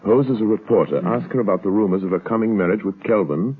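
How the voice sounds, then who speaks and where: A man playing a composed, shrewd gentleman detective in a 1930s radio drama, speaking in a measured way. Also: fairly low pitch, slightly rough. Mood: composed, intent. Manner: measured, deliberate. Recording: muffled vintage radio recording.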